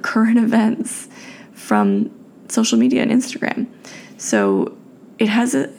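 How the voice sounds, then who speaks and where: A young woman talks through a solo podcast late at night, her voice raspy and full of deep vocal fry. She is reflective and searching, pausing on fillers and restarting her sentences, while her pitch moves freely. Her tone is warm and dark. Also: slight background noise. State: tired, raspy voice. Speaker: podcast host.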